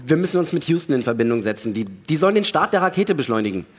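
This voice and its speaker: Man playing an agitated astronaut, husky and high-pitched. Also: very animated. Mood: desperate, insistent. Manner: restless, halting, prone to cursing. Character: agitated, desperate male astronaut on a moon station. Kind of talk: radio play acting.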